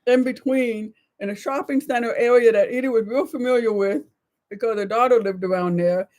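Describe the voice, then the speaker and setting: A woman's voice: on the high side, fairly quick, darkish tone. An American woman in her sixties co-hosting a live show with casual, reflective chat. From United States. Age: 60s.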